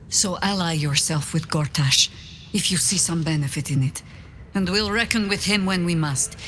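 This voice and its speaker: An actress playing a principled woman warrior in a fantasy game, guarded but loyal, speaking in an earnest, measured, formal way. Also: lowish voice, lightly acted. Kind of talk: game dialogue acting.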